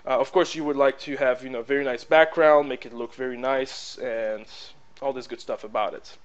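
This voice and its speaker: A man with a French accent giving a casual software tutorial over his screen, rambling with lots of fillers and "blah, blah, blah". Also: medium pitch, fairly even intonation, normal speed, dull audio. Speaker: tutorial presenter. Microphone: plug-in headset mic.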